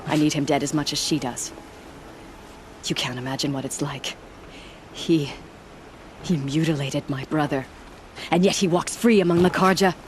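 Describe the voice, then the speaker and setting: A man's voice: a little high, fairly even intonation, moderate pace, long pauses, slight background noise, close to an everyday voice. Bitter, grieving villager played by a man. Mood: bitter grief. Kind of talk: video game dialogue acting.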